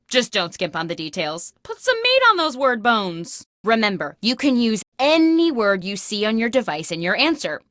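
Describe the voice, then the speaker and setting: A woman's voice: mid-range voice, pushed and bright, no room sound, clean studio sound. A woman playing a chipper, insecure, scatterbrained office boss as the host of a video game, with an over-eager, theatrical delivery.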